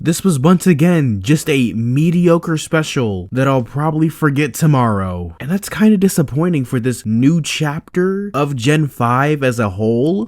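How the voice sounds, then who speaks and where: Exasperated video reviewer with sweeping, animated commentary. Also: mid-range voice, no echo, studio quality. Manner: exasperated, sarcastic, emphatic. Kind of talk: scripted cartoon review commentary with sarcastic asides. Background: background music.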